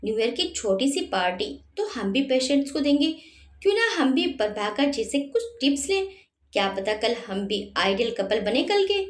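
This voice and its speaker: A woman voicing a young newlywed woman doctor who teases gently in a playful way. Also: on the high side, big pitch swings, normal speed. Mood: teasing, admiring. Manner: light, playful teasing. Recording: roomy echo.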